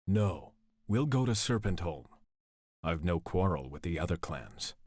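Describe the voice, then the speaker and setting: An actor playing a stern, brooding samurai prince with a husky voice and a curt, commanding manner in a video game cutscene. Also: male voice, deep voice, big pitch swings, measured pace, darkish tone, no room sound, clean studio sound, full character voice. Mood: resolute.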